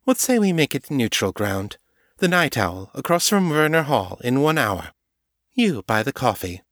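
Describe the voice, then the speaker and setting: A man's voice: medium pitch, sing-song, moderate pace, fairly bright tone, no echo, voice pushed up. A man voicing a poised female vampire doctor who speaks dryly and with wry irony. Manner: dry and wry, ironic.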